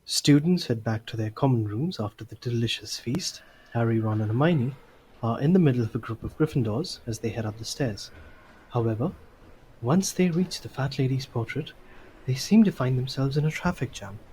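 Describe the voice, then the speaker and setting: A man's voice: medium pitch, lively intonation, moderate pace, warm tone, slight background noise, poor audio. A man reading scripted story narration for an audio drama.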